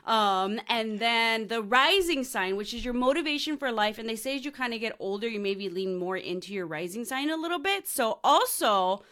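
Woman podcast host, bubbly, enthusiastic, bright voice, fairly high pitch. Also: expressive, moderate pace, hardly any pauses. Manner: bubbly, enthusiastic, laughs often, drops in Spanish slang. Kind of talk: casual interview with astrology talk.

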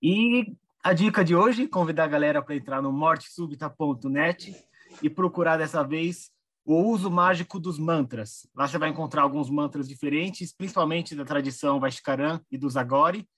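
A Brazilian man with a husky, slightly high voice. He talks casually, like a panelist signing off with a few recommendations for the audience. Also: moderate pace, a bit bright. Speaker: panelist on a live online discussion show. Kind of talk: casual chat, closing remarks and recommendations.

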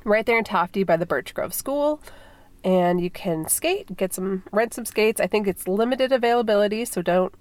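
A woman's voice: medium pitch, expressive, normal speed, darkish tone, no room sound. A woman from Minnesota in her thirties, stuffy with a cold, hosting a podcast in a rambling, chatty way with lots of fillers. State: congested from a cold. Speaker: podcast host. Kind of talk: casual chat.

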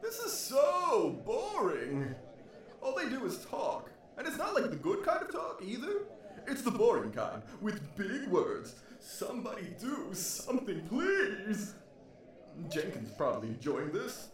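Cocky, childish space captain, played by a man, swooping pitch. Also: wildly swooping pitch, measured pace, a bit bright, full character voice. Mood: bored, whiny. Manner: boastful, whiny, goofy. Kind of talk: comedic sci-fi audio drama.

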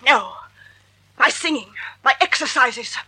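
Vain, murderous woman, high sharp voice. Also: animated, unhurried, slightly rough, full character voice, low-quality recording. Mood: desperate denial. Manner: defiant, imperious, increasingly frantic. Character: vain, murderous aging woman clinging to youth and beauty. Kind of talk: 1940s radio drama acting.

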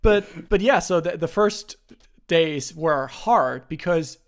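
A young man from Germany, casually telling stories as a podcast host, in a relaxed way with frequent restarts. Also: a little high, slow pace, clean tone.

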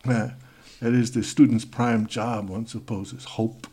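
An actor playing a formal, awkward young male Spanish exchange student in an audio drama, with a Spanish accent and a halting manner. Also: mid-range voice, flat delivery, warm tone, husky.

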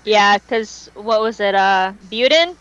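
A woman's voice: mid-range voice, animated, slow, fairly bright tone, clean tone, highs cut off. A woman chatting casually as co-host of a fan podcast, talking in a reminiscing way.

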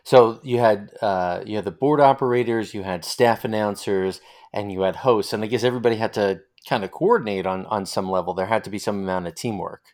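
Middle-aged male interviewer with a lowish voice. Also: a little fast, darkish tone. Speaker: interviewer, host. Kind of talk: conversational oral-history interview.

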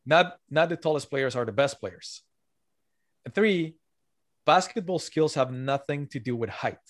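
A man's voice: medium pitch, lively intonation, unhurried, no room sound, studio quality. A man who is not a native English speaker, talking earnestly as an author guest on a podcast and repeating his key points. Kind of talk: podcast interview.

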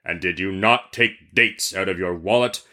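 A man's voice: low voice, moderate pace, bright, forceful tone, voice pushed down. A man voicing a huge, ancient, wrathful genie in a storybook tale, speaking in a menacing, commanding, formal way. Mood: wrathful, stern.

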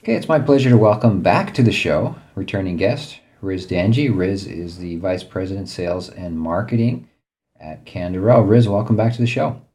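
A man hosting a podcast, asking friendly, halting questions. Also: sits low, mellow, soft background music. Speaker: podcast host. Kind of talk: podcast interview.

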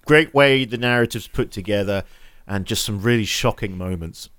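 Low-voiced male podcast co-host. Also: moderate pace, fairly bright tone, clean tone, no room sound, clean studio sound. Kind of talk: casual chat.

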